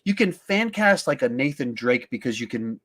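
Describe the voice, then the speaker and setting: A man with a medium-pitched voice hosts a casual live film podcast. He reads viewer questions aloud and answers conversationally. His delivery is emphatic, and he often works out his thoughts as he speaks. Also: very animated, normal speed, fairly bright tone, breathy edge. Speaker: podcast host.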